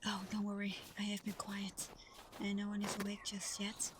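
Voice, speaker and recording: A woman playing a shy, eager young woman in a soft-spoken roleplay audio drama, speaking gently with hesitant stammers and breathy half-whispers. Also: mid-range voice, completely flat delivery, moderate pace, background noise, lightly acted. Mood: cheerful, reassuring. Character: shy, sweet, eager young woman, Santa's adopted daughter.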